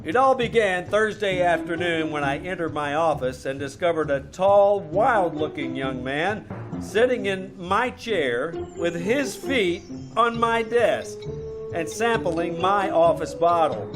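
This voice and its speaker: A man's voice: high voice, expressive, unhurried, over music. A man playing a wisecracking, irritable hard-boiled private eye in old-time radio comedy style, wry and bossy. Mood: mock-formal. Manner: wry, bossy, mock-formal. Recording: noisy live stage recording.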